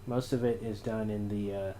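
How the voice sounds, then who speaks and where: Low-voiced man giving a halting tutorial. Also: level tone, warm tone, a little hiss or hum. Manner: halting, thinking aloud. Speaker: sound designer giving a tutorial. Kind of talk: informal unscripted tutorial.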